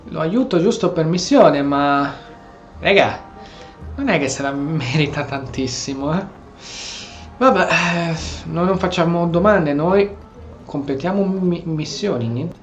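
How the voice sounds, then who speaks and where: A man's voice: a little high, a little slow, dull audio, faint music behind. An Italian man giving casual, colloquial gameplay commentary and role-playing his replies. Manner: informal, colloquial. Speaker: gamer commentator.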